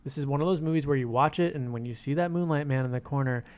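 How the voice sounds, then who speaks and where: A man with a mid-pitched voice. He speaks as a panelist in a casual film discussion. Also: fast talker, a little mellow. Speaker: podcast panelist.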